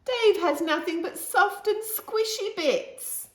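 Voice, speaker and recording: A woman voicing an earnest young storybook girl who speaks up plainly for her gentle dog. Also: very high voice, takes it slowly, pitched above the performer's own voice. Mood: indignant. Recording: roomy, echoing room sound.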